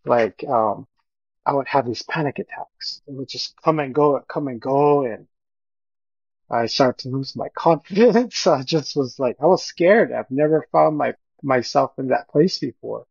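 A middle-aged man telling his personal story as a podcast guest, speaking earnestly and haltingly, often repeating his phrases. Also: mid-range voice, lively intonation, vocal fry, a little mellow, husky, no echo, lo-fi sound.

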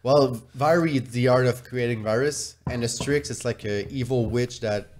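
A man's voice: mid-range voice, normal speed, a little mellow, a little hiss or hum. A man co-hosting a podcast, telling an anecdote casually in a rambling way and repeating himself. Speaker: podcast co-host.

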